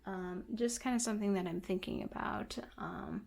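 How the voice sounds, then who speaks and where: A young woman vlogging casually to her viewers, slightly hoarse and scratchy, rambling with lots of ums and you-knows and little self-deprecating giggles. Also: medium pitch, steady tone, normal speed, a little mellow. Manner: rambling, many fillers like um and you know. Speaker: book and anime vlogger. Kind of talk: casual chat to camera.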